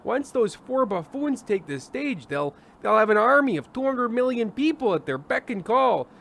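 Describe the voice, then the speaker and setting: An actor playing a glum, fretful middle-aged variety show host in a radio play, with a halting, stammering delivery. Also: male voice, very high voice, big pitch swings, gravelly, a bit bright, slight background noise, exaggerated voice. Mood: agitated, fretful. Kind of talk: old-time radio play acting.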